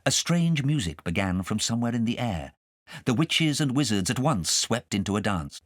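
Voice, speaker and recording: A man reading a children's story aloud as an expressive audiobook narrator. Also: medium pitch, moderate pace, no echo, studio quality.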